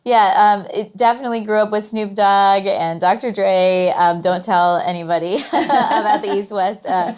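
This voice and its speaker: American woman, from Southern California, interview guest, chatty, casual, medium pitch. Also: a little mellow, clean tone. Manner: chatty, quick to laugh. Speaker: guest, curator and academic.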